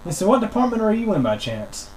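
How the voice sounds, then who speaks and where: A man explaining a technical complaint as a customer on a phone call, wordy and halting, often repeating himself. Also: high-pitched, very animated, a little mellow, slight background noise. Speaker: customer calling internet support, channel host.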